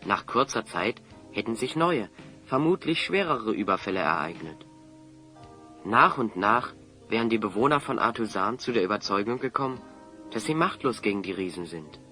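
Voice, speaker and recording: A man's voice: on the low side, expressive, normal speed, sharp tone, faint music behind. A man acting a clever, confident hero in an audio drama, articulate and assertive as he reasons things out. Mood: triumphant, explanatory. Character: clever, confident male hero explaining a scheme.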